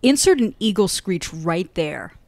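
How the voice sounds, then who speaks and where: A woman's voice: on the low side, sing-song, unhurried, gravelly, heavy background noise. A woman in her twenties from the United States, a podcast guest chatting in a playful, giggly, self-mocking way. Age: 20s. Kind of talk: casual chat.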